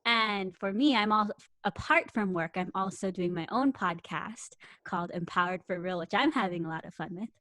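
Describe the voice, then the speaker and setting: A woman's voice: mid-range voice, normal speed, few pauses, bright, forceful tone, no room sound. A woman in her twenties with an American accent, chatting casually in an upbeat way. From US, San Francisco Bay Area. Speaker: podcast guest, podcaster. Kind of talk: casual chat.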